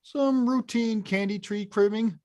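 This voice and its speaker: A woman playing a big, clumsy male video-game bad guy in an animated film table read, blustering and halting. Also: mid-range voice, close to an everyday voice. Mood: evasive, irritated.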